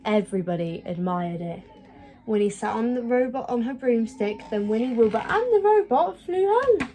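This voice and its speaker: A woman with a fairly high, soft-edged mellow voice reads a children's picture book aloud. Her delivery is expressive, in a storytelling style for young listeners. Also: normal speed, poor audio. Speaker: storybook reader.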